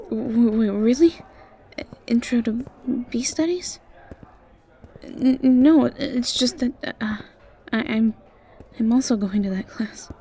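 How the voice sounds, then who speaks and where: A woman performs a timid young female student in an audio roleplay, sweet and anxious. Her voice is high and soft-toned, and it comes out slowly in stammering, halting bursts. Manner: heavy nervous stammering, halting and apologetic. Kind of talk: audio roleplay acting, addressed to the listener.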